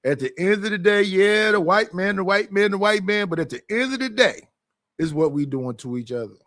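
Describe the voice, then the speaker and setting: A man's voice: sits high, animated, brisk, fairly bright tone, no echo, clean studio sound. A man hosting a livestream, talking loosely and bluntly in a casual, conversational way. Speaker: livestream host.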